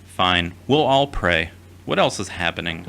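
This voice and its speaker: A man acting in an audio drama as a gentle, earnest, emotionally fragile young man, speaking in a measured, reassuring way. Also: low voice, expressive, vocal fry, a little hiss or hum, exaggerated voice. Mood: concerned.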